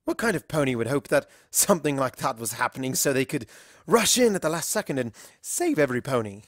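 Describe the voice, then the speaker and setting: Man as animated, eccentric pony doctor. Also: mid-range voice, a little fast, dry recording, clean studio sound, close to an everyday voice. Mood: awkward, subdued. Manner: theatrical, earnest, expressive. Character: eccentric, dramatic male pony doctor and time traveller. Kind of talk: storybook character dialogue.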